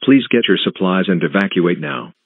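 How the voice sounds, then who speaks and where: A man reading a scripted emergency alert as an announcer, in a flat, measured, synthetic-sounding delivery with clipped phrases. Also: deep voice, very noisy. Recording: muffled, narrow phone-like broadcast sound.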